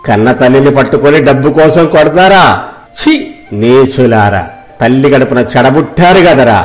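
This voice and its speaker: A man's voice: medium pitch, animated, moderate pace, natural voice. A man voicing a loyal, boastful reformed bandit with an emphatic, righteous manner in a moral story. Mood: indignant scolding.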